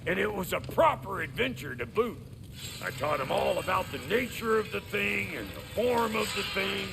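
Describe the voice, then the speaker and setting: A man with an American accent voicing a gruff, brash, foul-mouthed dwarf blacksmith in a video game, gravelly, folksy and blunt. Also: sits high, big pitch swings, moderate pace, music in the background, full character voice, poor audio. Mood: cocky, gruff. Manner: folksy, blunt, colourful made-up slang. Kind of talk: video game character acting.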